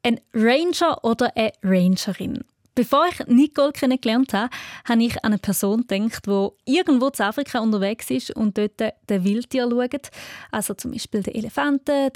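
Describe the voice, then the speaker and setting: A woman presents children's radio with a Swiss accent. Her voice sits a little high, and she delivers scripted lines to young listeners in a very dry recording. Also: a little mellow, no echo.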